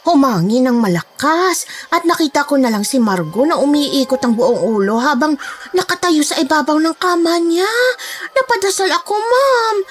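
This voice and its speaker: A woman's voice: high-pitched, big pitch swings, normal speed. A woman playing a talkative, frightened nanny in a horror drama, speaking in a gossipy, respectful, dramatic way. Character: talkative, frightened Filipina nanny sharing a scary secret. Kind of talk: dramatized horror story dialogue.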